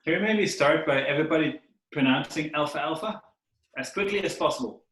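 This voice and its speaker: A man whose first language is Dutch gently walks children through a hands-on activity over a video call. He is friendly and often repeats words while he finds them. His voice sounds roomy and echoey. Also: a little high, fairly quick. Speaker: workshop instructor. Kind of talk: teaching children on a livestream. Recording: roomy echo.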